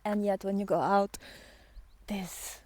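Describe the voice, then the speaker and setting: A woman with a soft-edged, mellow voice talks at a slow pace as she walks outdoors. Her delivery is reflective and unscripted, with halting phrases that often sink into a breathy near-whisper. The pitch sits in an average range for a woman. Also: fairly even intonation. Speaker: spiritual vlogger and guide. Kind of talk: unscripted reflective talk while walking in nature. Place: outdoors on a walk in nature.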